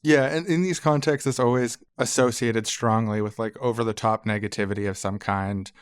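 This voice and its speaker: A man's voice: medium pitch, fairly even intonation, normal speed, smooth, no echo. A man co-hosting a podcast, explaining things in an informal, conversational way. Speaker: podcast co-host.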